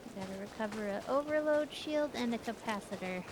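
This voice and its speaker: A woman's voice: on the high side, animated, fairly quick. A woman chatting casually as she plays through a game on a livestream with a co-host. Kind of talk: casual chat while playing a game. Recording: livestream with clear background noise, game ambience.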